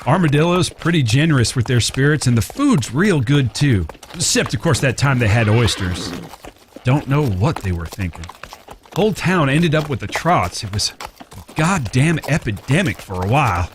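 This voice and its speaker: An easygoing outlaw brother from a western audio drama, played by a man. He talks in a folksy, wry storyteller's manner at a medium pitch, with noticeable noise behind him. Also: big pitch swings, moderate pace, close to an everyday voice.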